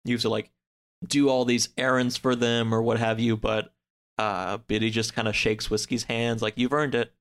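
A man's voice: medium pitch, little pitch movement, moderate pace, clear, resonant tone. A man chatting casually as a podcast guest, recounting a story in a loose, rambling way peppered with "like". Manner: rambling, loosely pieced-together telling, peppered with "like".

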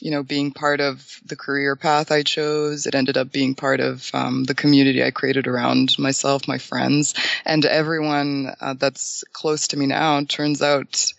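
A man, answering interview questions in a thoughtful, hesitant way with many pauses and restarts. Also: mid-range voice, monotone, creaky, fairly bright tone, no room sound, lo-fi sound. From Bulgaria. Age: 20s. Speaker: guest.

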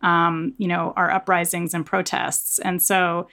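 Woman, slightly low voice, author guest talking through her work in casual interview style. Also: little pitch movement, unhurried, bright, forceful tone. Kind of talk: conversational interview discussion.

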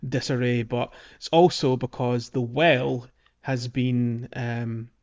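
A man's voice: mid-range voice, fairly even intonation, slow pace, darkish tone, dull audio. A man hosting a casual gaming podcast, talking in a meandering way with lots of fillers and restarts. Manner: meandering, many fillers and restarts, laughs often. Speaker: podcast host.

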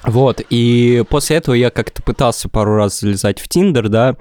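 A man's voice: medium pitch, brisk, very noisy. A man in his twenties co-hosting a casual podcast, hesitant and rambling. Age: 20s. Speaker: podcast co-host. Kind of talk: casual comedic podcast chat.

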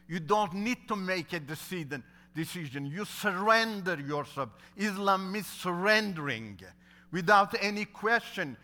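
Earnest man, Turkish native, bright voice. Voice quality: non-native English with fillers. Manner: earnest, builds to emphatic preaching with rising pitch. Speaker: guest speaker telling his testimony. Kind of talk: testimony sermon.

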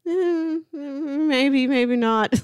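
A woman in casual, animated conversation as a podcast host, talking through a TV review with loose, conversational phrasing. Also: high-pitched, very slow, dry recording, clean studio sound.

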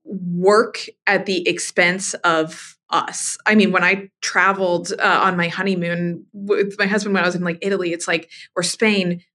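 A woman's voice: lowish voice, little pitch movement, unhurried, few pauses, sharp tone. A woman hosting a podcast, chatting casually with a guest and adding playful emphasis. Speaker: podcast host. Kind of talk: casual interview chat.

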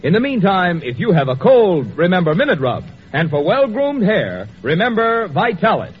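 A man's voice: high voice, sing-song, normal speed, faint music behind. A male radio announcer reading advertising copy in a polished, salesmanlike 1940s style. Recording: muffled, hissy old radio recording.

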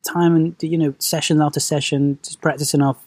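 A man, born in Venezuela, talking as a podcast guest in a soft-spoken, hesitant, reflective way. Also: on the high side, level tone, fairly quick, no echo. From Venezuela, raised in London. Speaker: guest, movement coach. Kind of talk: podcast conversation.

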